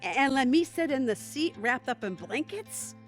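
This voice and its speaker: A woman's voice: high-pitched, lively intonation, normal speed, soft background music. An actress playing a plain-spoken, wry, hesitant woman who longs to be braver, in an audio play. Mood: wry, flirting. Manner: folksy, candid, wry. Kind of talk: audio theatre acting.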